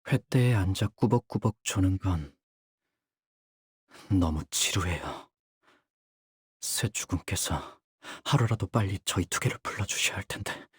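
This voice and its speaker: An actor playing a young, bird-like warrior in a game, polite and formal in speech yet bloodthirsty, with theatrical delivery. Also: male voice, deep voice, lively intonation, a little slow, long pauses, husky, no room sound, studio quality, full character voice. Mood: calm menace. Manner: polite, formal speech breaking into fierce intensity. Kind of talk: Korean game character voice lines, theatrical.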